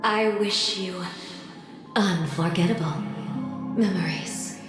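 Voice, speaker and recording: A woman's voice: lowish voice, slow. A woman playing an enigmatic, tempting figure in a video game, speaking in a teasing way. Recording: heavy echo under prominent music.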